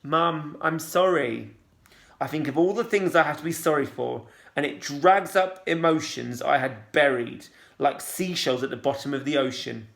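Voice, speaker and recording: A man calmly and expressively reading a children's novel aloud, then posing questions to listeners like a teacher. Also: a little high, vocal fry. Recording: roomy echo.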